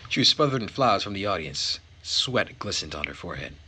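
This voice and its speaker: A man reading a visual novel aloud as host and narrator, with measured, theatrical phrasing. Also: sits low, big pitch swings, bright, forceful tone, husky. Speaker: let's play host and narrator.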